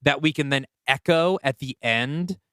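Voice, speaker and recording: A man's voice: mid-range voice, a little slow, a bit bright, clear, resonant tone, dry recording, clean studio sound. A man co-hosting a podcast, chatting casually and thinking aloud as he works through story ideas, with lots of "like" along the way. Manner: thinks aloud, often says "like". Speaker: podcast co-host and writer. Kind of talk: casual brainstorming chat.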